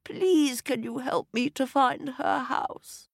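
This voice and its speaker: A woman voicing a frail, tired little old lady in a children's story, speaking slowly in a quavering, plaintive way. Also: high-pitched, fairly even intonation, vocal fry, slightly rough, no echo, studio quality, pitched above the performer's own voice, full character voice. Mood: weary, pleading.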